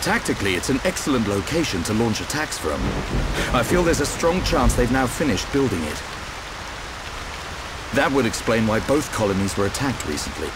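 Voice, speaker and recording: A man playing a calm, measured and seasoned warrior leader in a video game cutscene. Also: mid-range voice, heavy background noise, natural voice. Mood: calm, considered.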